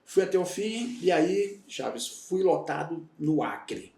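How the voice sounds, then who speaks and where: A man's voice: on the high side, animated, slow, vocal fry, darkish tone. A man in his fifties from Salvador with a Bahian accent, recounting his career as a guest on a podcast in a reflective, candid way. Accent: Bahian Brazilian Portuguese. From Salvador, Bahia, Brazil. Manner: reflective, candid storytelling with frequent restarts. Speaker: guest, retired federal police agent. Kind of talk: casual podcast interview. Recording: roomy echo, voice heard from a distance.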